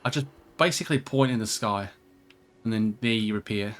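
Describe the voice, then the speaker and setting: A man's voice: fairly low pitch, normal speed, soft background music. Jokey man chatting over a game. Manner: rambling, jokey, sweary. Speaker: video game streamer. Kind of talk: casual co-op let's play chat.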